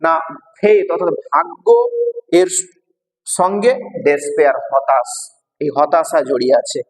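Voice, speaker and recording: Man, fairly high voice, Indian Bengali accent, didactic lesson style, repetitive phrase-by-phrase explaining, long hall reverb. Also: big pitch swings, a little slow. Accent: Indian Bengali, West Bengal. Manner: didactic, repeats each phrase and translates it. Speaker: English teacher. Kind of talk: bilingual exam lesson, explaining line by line.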